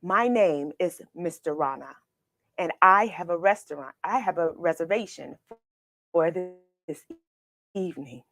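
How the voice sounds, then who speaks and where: Woman voicing a nervously polite piranha gentleman, halting speech. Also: fairly low pitch, no echo. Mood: nervous politeness.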